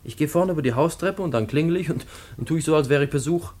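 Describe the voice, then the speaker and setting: An actor playing an eager, reassuring young lover in a naturalistic German radio drama, speaking tenderly and urgently. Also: male voice, fairly high pitch, fast talker, a little mellow, natural voice. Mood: urgent, confident. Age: young adult.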